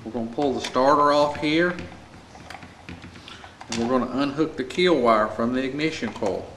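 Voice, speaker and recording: An American man giving a plain, practical, informal step-by-step repair tutorial as he works in a shop. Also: mid-range voice. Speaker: small engine repair instructor. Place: indoor repair shop at a workbench. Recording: echoey room with clear background noise.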